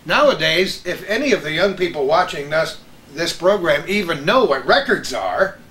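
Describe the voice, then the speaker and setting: A man's voice: a little high, very animated, moderate pace, sharp tone. An older man hosting a casual group chat. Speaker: host of a local radio reminiscence show. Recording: echoey room with clear background noise.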